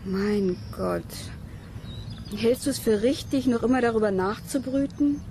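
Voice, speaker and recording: A woman's voice: fairly high pitch, measured pace, dark tone, a little hiss or hum. An actress playing a pragmatic, curious young woman student in a radio drama, composed and matter-of-fact. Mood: concerned. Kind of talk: German radio drama dialogue.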